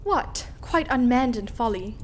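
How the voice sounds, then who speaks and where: An imperious queen from Shakespearean tragedy, played by a woman, pitched on the high side. She is scornful and commanding, with theatrical delivery. There is faint noise on the recording. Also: expressive, a little slow. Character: commanding, scornful queen covering for her husband. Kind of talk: dramatic reading of Shakespearean tragedy.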